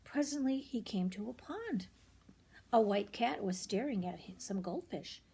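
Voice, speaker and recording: An older woman reading a children's picture book aloud, expressive and often hushed, pausing for asides about the pictures. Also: medium pitch, creaky, husky.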